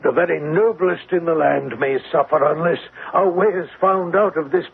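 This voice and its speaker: A troubled old father in classic radio drama. His voice is highish and gravelly, with halting, confessional phrasing full of agitation. It comes through thin and narrow, like an old broadcast. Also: full character voice. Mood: anguished. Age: older. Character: distraught, remorseful elderly English banker and father. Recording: tinny, band-limited old radio, faint hiss.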